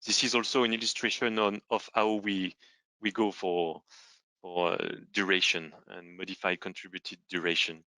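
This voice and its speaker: Male fund manager, lowish bright voice, deliberate explanatory presentation delivery, emphatic. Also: little pitch movement, no room sound, low-quality recording.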